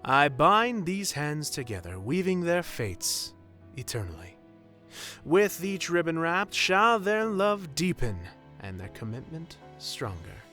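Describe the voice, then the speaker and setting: A man playing a solemn, formal wedding officiant in a fantasy audio roleplay, speaking in stately, measured ceremonial proclamations. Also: fairly high pitch, sharp tone, full character voice.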